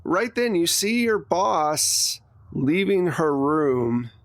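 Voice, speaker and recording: A man's voice: fairly high pitch, very animated, slow, no room sound. Casual male game master, dry close sound. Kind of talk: conversational tabletop game narration.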